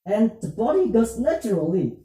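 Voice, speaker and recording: A puppet show presenter, speaking scripted religious dialogue in halting, deliberate English. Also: medium pitch, very animated, dark tone. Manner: halting, deliberate phrasing in non-native English. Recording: roomy echo, distant muffled microphone.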